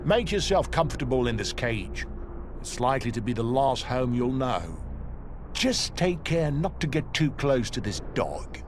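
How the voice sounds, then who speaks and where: An actor playing a cynical, resigned prisoner in a video game, wry and matter-of-fact. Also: male voice, mid-range voice, sing-song, a little slow, noisy background. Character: cynical, resigned seasoned male prisoner in a cage. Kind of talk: dramatic video game acting.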